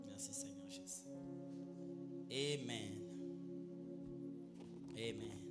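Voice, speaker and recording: A man's voice: on the low side, drawn-out words, loud music behind the voice. A man preaching fervently at a church service, stopping often for live interpretation. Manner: fervent, rises into sung and shouted exhortation.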